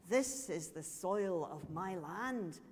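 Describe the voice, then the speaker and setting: A woman's voice: lowish voice, animated, takes it slowly, breathy edge, exaggerated voice. A woman voicing a frail, stubborn old man from a story, earnest and reluctant. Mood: stubborn refusal. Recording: echoey hall with long reverb.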